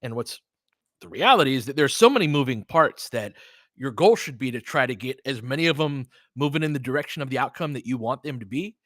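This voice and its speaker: A man's voice: mid-range voice, expressive, a little fast, fairly bright tone, no room sound, clean studio sound. An American man hosting live basketball commentary, talking casually and thinking aloud, with emphatic stresses as he chats with listeners. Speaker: sports show host and game commentator.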